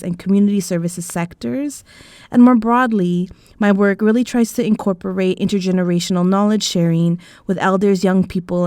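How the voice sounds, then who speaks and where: A woman from Canada, a PhD student, giving an earnest and deliberate self-introduction on a podcast. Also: on the low side, dark tone, no echo, studio quality. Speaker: PhD student and community practitioner.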